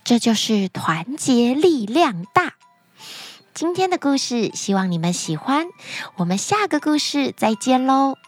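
A woman narrating children's stories as a podcast host, expressive and speaking directly to young listeners. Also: high voice, measured pace, faint music behind. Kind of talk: animated storytelling narration for children.

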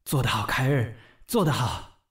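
A man's voice: medium pitch, expressive, moderate pace, fairly bright tone, slightly rough, no echo, studio quality. An actor voicing an anxious, fussy young male office intern who reassures himself, in an audio drama. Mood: meek, relieved. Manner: anxious, precise, self-reassuring. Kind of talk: audio drama monologue and dialogue.

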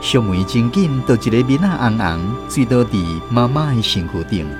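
A man narrates a radio story in a Taiwanese accent. His mid-pitched voice moves up and down expressively, with soft music underneath as he hosts. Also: animated, moderate pace. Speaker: radio drama narrator and host.

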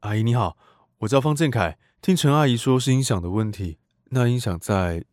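A man's voice: mid-range voice, brisk, no room sound, studio quality, natural voice. Young man playing a polite, secretive voyeur. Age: young adult. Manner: polite and mild to others, quiet scheming inner monologue. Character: polite young male student neighbor who is secretly a voyeur. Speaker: narrator and handyman neighbor. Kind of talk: radio drama acting.

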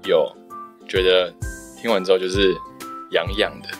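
A man's voice: sits low, flat delivery, normal speed, music in the background. A man, chatting casually as a voice actor. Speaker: amateur voice actor. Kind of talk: casual chat.

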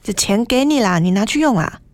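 A woman's voice: fairly high pitch, expressive, a little fast, a little mellow, lightly acted. A woman playing a critical, fretful mother who nags her grown son in a dramatized essay. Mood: fretful nagging. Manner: chiding, anxious nagging.

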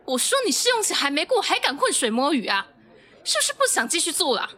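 A woman voicing a bossy female office manager with a high, tense voice that leaps up and down. She scolds staff sharply in an audio drama. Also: very animated, fast, pushed and bright, exaggerated voice. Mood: scolding.

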